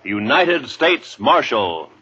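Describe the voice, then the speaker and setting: A man's voice: medium pitch, very animated, slow. A man announcing dramatically in the style of a 1950s radio show. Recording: muffled old radio recording under loud music.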